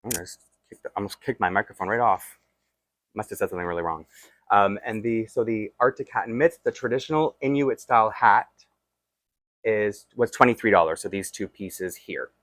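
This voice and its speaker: A man with a Toronto accent talks through a doll unboxing in a loose, rambling way, poking fun at himself as he goes. His voice sits low, and the sound is dry and close with no room echo. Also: brisk, fairly bright tone. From Toronto, Canada. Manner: chatty and self-deprecating, rambling asides while handling a doll. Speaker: doll collector vlogger. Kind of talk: casual unboxing chat.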